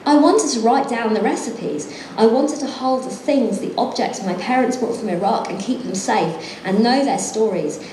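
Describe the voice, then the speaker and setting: A woman's voice: a little high, normal speed, few pauses, warm tone, reverberant, slight background noise, rough recording. A middle-aged woman author reading from her book and then chatting, self-deprecating and laughing often. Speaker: author and guest. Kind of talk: reading aloud then conversation. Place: event venue.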